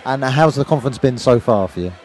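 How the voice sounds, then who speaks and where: A man's voice: medium pitch, animated, fast, darkish tone, some chatter behind. A man interviewing attendees at a teaching conference, asking polite, encouraging questions. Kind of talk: conference vox-pop interview. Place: busy conference venue.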